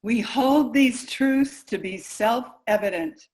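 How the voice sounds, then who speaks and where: Resolute suffragist woman, actress, fairly high, formal declaratory stage reading. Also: slow pace, a little mellow. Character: resolute 19th-century suffragist declaring women's grievances. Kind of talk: reader's theater recitation.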